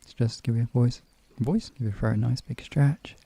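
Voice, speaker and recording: Male relaxation streamer, deep soft-toned voice with plenty of fry, informal and gently instructing. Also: normal speed. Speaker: streamer, guided relaxation host. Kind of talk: casual chat moving into guided relaxation and hypnosis instruction.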